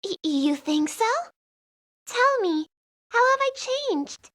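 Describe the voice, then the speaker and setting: A woman's voice: extremely high pitch, very animated, takes it slowly, fairly bright tone, heavily acted. A woman playing an earnest, affectionate, sheltered young princess with a girlish manner in a video game fandub. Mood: cheerful, curious.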